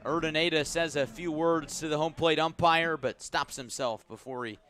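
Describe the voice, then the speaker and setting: A man calling a baseball game live as a radio play-by-play announcer, with measured, descriptive delivery that lifts when the action picks up. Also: on the high side, steady tone, a bit bright. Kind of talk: live sports commentary.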